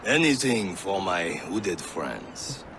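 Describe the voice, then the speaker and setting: A man's voice: deep voice, sing-song, slow pace, heavily acted. A man playing a helpful, well-informed spymaster ally in a video game. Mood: confiding. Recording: clear outdoor ambient noise.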